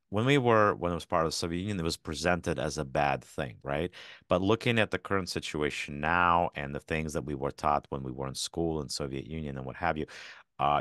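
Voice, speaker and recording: A man with a very low voice who grew up in Ukraine and speaks Russian as his first language talks through his points as a podcast guest, often tacking on quick tag questions. The recording is dry and close, with no room sound. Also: fairly bright tone, dry recording. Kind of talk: casual interview talk.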